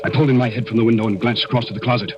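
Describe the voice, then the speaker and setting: An actor playing a scheming murderer who confesses in grim, deliberate, dramatic narration in a 1940s radio play. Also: male voice, mid-range voice, fairly even intonation, lo-fi sound, music in the background, natural voice. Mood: tense, grim. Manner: dramatic, deliberate, grim first-person telling. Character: scheming murderous man confessing his crime.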